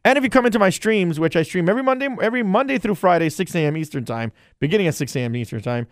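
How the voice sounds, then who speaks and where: A man on a casual solo podcast who talks in a loose, rambling, friendly way. His voice sits a little high and sounds smooth and resonant. The recording is close and dry, with no room sound. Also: animated, very fast, dry recording. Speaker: podcast host and streamer.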